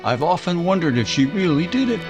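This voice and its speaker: A man's voice: fairly high pitch, very animated, fairly quick, over loud music. A man acting a reflective narrator looking back over decades in a 1940s-style radio drama. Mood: reflective, somber.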